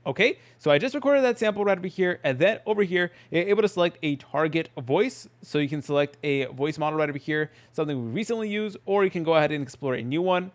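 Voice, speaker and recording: A man narrating a casual software tutorial as host, explaining each step in an informal, chatty way. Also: on the high side, animated, fast, few pauses.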